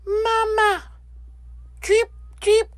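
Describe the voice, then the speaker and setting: A tiny, squeaky baby gosling from a children's storybook. The voice is pushed extremely high and calls out insistently, clingy and eager. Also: male voice, monotone, drawn-out words, fairly bright tone, no room sound, clean studio sound, heavily acted. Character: excited little baby goslings cheeping for mama.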